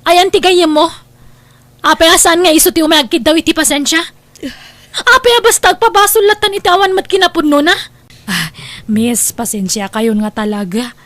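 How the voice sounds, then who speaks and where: An actress as an outspoken, loyal young woman in an Ilocano drama. Her voice sits very high and carries a Filipino accent. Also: normal speed, a bit bright, dry recording. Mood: indignant. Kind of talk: Ilocano radio drama acting.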